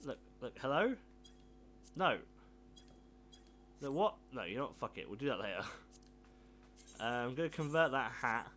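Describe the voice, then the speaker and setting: A young man with a croaky, sore throat, casually commentating a video game, self-deprecating and swearing freely. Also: mid-range voice, big pitch swings, moderate pace, pauses often, a bit bright, a little hiss or hum. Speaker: gameplay commentator. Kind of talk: casual let's play commentary. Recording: game music playing behind.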